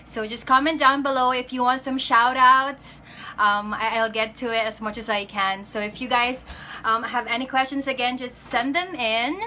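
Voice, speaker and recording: A woman's voice: a little high, fairly even intonation, normal speed, bright, forceful tone, slight background noise. A young woman in her twenties with a Filipino accent, chatting casually on a livestream, greeting viewers by name and slipping into Tagalog. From Philippines. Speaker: pageant candidate.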